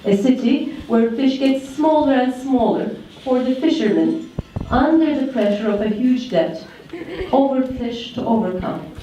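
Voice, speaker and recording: A woman's voice: fairly high pitch, animated, warm tone, muffled recording, slight background noise. Turkish woman giving a poetic speech in an echoey hall. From Turkey. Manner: slow, repetitive incantatory delivery. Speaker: conference speaker. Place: large conference hall. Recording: echoey hall, speaker far from mic.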